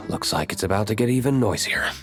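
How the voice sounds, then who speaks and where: A man's voice: on the low side, fairly bright tone. A man playing a stern, reserved tavern keeper in a video game, curt and disapproving.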